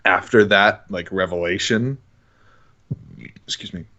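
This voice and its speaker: A man's voice: sits low, unhurried, bright, forceful tone. A man in his twenties talking casually as an interview guest, rambling and hesitant, with an amused manner. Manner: hesitant, rambling, self-amused with frequent chuckles. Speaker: interview guest, online voice actor. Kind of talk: casual chat.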